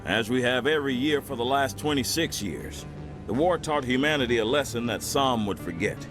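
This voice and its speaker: An actor playing an eager, polished, stubbornly nationalist politician in a video game, speaking in an oratorical, politely insistent way. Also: male voice, medium pitch, animated, fairly quick, lightly acted, low-quality recording. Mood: earnest, campaigning. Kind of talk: video game dramatic dialogue. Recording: music behind the voice.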